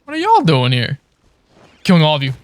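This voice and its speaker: A man's voice: on the high side, wildly swooping pitch, fairly quick, dry recording. A man giving casual live gameplay commentary as a streamer, with a playful, wry way of talking. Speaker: game streamer. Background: faint game music.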